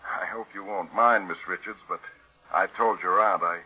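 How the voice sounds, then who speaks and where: An actor playing a courteous, businesslike family lawyer in an old-time radio mystery. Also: male voice, sits low, fairly even intonation, moderate pace, husky. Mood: earnest, reassuring. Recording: muffled old radio recording.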